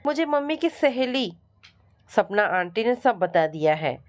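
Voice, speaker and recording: A woman's voice: a little high, sing-song, unhurried, voice pushed up. A woman storyteller voicing a protective young adult son, firm, indignant and defiant.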